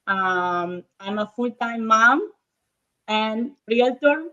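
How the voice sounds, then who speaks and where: A woman's voice: a little high, animated, very slow, bright, forceful tone, muffled recording. A woman from northern Italy with an Italian accent, speaking English as a second language, chatting as a podcast guest with hesitant fillers and restarts. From Verona, northern Italy. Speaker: guest realtor. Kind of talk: casual interview chat.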